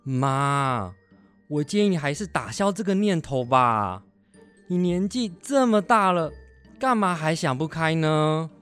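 Man acting as worried middle-aged dad, family radio drama, nagging, insistent, highly varied pitch, soft music behind. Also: animated, unhurried, clean tone. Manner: exasperated, insistent.

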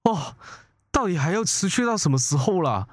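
A woman's voice: lowish voice, big pitch swings, moderate pace. A woman playing a frightened student who pleads urgently and hesitantly in a horror radio play. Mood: urgent fear. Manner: hesitant, urgent chanting pleas. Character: frightened student begging a spirit to leave.

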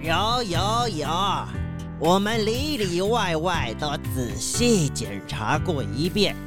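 An actor playing an earnest, steady yacht captain, explaining things carefully in a children's mystery audio drama. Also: male voice, high-pitched, takes it slowly, music in the background.

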